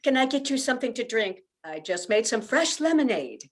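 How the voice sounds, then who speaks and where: A woman's voice: on the high side, big pitch swings, a little fast, heavy background noise. A woman playing an earnest young widowed mother in an amateur radio play, with heartfelt, theatrical delivery. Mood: polite, welcoming.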